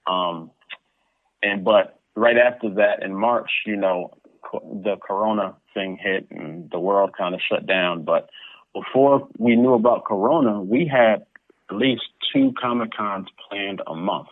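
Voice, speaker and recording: Low-voiced man on a phone-like line. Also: a little slow, no echo. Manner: repeats words and phrases, informal and conversational. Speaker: interview guest, comic book publisher. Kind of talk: casual interview chat.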